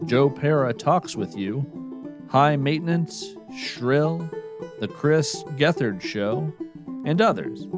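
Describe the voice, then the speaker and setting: A man hosting a podcast with scripted narration in a measured, deadpan manner. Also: medium pitch. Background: plucked string music.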